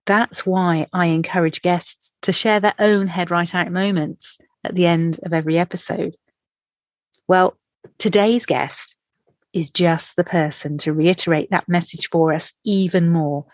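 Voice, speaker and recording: Low-voiced British woman hosting. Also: steady tone, a little slow, no echo. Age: middle-aged. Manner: enthusiastic, warm laughter. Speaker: podcast host. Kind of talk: scripted podcast introduction then interview.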